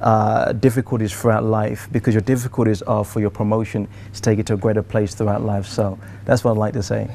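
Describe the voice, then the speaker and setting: A man's voice: on the low side, flat delivery, fast, gravelly, few pauses, warm tone. A young man with a British accent speaking as an interview guest in an emphatic, oratorical, motivational style. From United Kingdom. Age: young adult. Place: TV studio.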